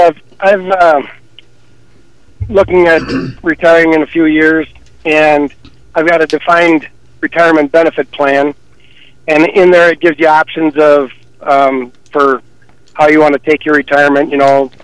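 A man in his sixties near retirement, calling a radio show with a hesitant, halting question. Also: fairly high pitch, level tone, smooth, dry recording, rough recording. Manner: hesitant, full of ums. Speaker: caller. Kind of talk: phone-in question.

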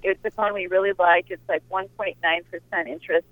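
A woman's voice: lowish voice, steady tone, a little fast, dry recording. A woman in her sixties calling in to a radio show, asking her question with many hesitant fillers. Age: 60s. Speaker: caller. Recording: phone-line caller audio.

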